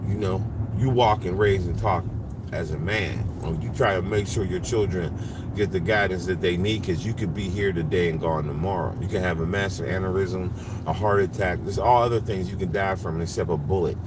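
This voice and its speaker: A man's voice: low voice, moderate pace, background noise. A man in his forties talking casually in a rambling, repetitive way from inside a vehicle. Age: 40s. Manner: rambling, animated and repetitive, restarts often. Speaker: livestreamer and driver. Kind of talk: casual monologue.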